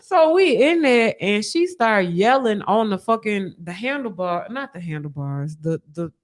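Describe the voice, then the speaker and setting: A woman telling a personal story in casual, animated podcast style, with candid slang and frequent swearing. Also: medium pitch, measured pace.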